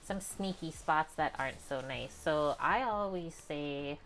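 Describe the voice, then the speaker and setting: A young woman with a calm, chatty manner, walking viewers through a home cooking session in her kitchen. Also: sits low, a little slow, a little hiss or hum, rough recording. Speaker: cooking streamer. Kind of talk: casual cooking demonstration.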